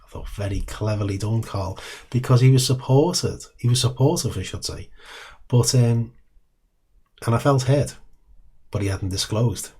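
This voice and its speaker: A middle-aged British man with a hoarse, croaky voice, hosting and telling a story in a relaxed way. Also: medium pitch, moderate pace, long pauses. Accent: British English. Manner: relaxed, with frequent ums and acted-out dialogue. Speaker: host. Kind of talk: casual storytelling to camera.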